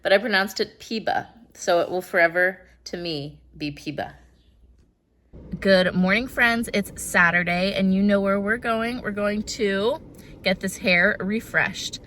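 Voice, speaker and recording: A woman's voice: mid-range voice, unhurried, fairly bright tone. A woman in her thirties talking casually to camera in a vlog, chatty and bubbly with a slightly congested sound. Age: 30s. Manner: chatty, bubbly, self-deprecating asides. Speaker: vlogger. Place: out and about, car and home.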